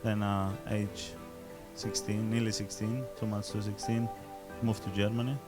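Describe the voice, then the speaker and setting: Italian-accented chef, deep voice, music behind. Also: male voice, little pitch movement. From southern Italy. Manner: halting, searching for words. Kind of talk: casual interview. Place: restaurant function room with music playing.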